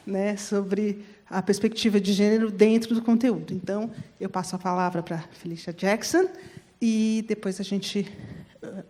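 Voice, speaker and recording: Woman moderating, mellow voice, halting with lots of fillers. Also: medium pitch. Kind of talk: panel moderation.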